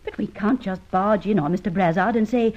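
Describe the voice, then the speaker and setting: A woman's voice: medium pitch, fairly quick, a little mellow, lightly acted. An actress playing a spirited, well-spoken young woman, an adventurer's arch companion, in an old radio drama. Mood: practical, doubtful. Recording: muffled old radio recording.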